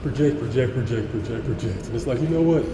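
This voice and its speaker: A man with a deep voice giving an earnest, rambling monologue to camera, restarting and stammering often. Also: quick pace, noisy background. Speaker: vlogger.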